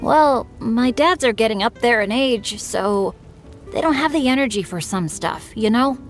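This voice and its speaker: A woman's voice: fairly high pitch, animated, a little slow, soft background music. A woman voicing an earnest, good-natured teenage boy adventurer in an anime-style game, chatty and a little bashful. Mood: bashful, upbeat.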